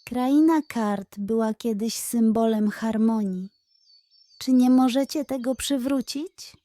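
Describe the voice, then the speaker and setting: Little girl heroine, acted by a woman, high pitch, brave, caring, earnest, children's audio tale. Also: slow, mellow, no room sound. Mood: pleading. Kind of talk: children's storybook character voice.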